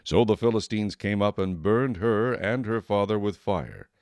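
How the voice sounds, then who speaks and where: A man's voice: lowish voice, animated, moderate pace, darkish tone, no room sound. A man narrating scripture as a scripted audio drama narrator.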